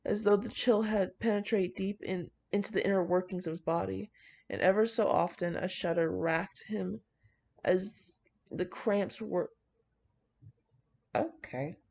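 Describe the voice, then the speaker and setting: A woman's voice: medium pitch, a little slow, creaky, darkish tone. A woman reading and reacting to fan fiction in a casual, brash, slangy and dramatic way. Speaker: video creator reading fan fiction aloud with commentary. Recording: dull lo-fi audio.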